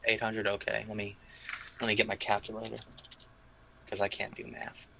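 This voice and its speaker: A man's voice: fairly low pitch, monotone, moderate pace, gravelly, bright, forceful tone, a little hiss or hum, low-quality recording. A man playing a tabletop game, chatting casually and thinking aloud as he goes.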